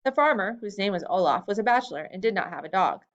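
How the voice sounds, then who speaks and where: Woman reader, expressive storytelling, lively rising pitch, slightly dull lo-fi sound. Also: fast talker. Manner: expressive reading that lifts pitch for quoted lines. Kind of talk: storybook reading of a memoir-style tale.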